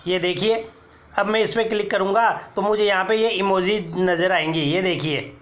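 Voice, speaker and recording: A man's voice: high voice, normal speed, a bit bright. A man explaining phone keyboard settings step by step, as a tutorial presenter who keeps calling viewers friends and pointing out each screen. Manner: step-by-step, addresses viewers as friends, repeats "look here". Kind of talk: screen-recorded how-to explanation.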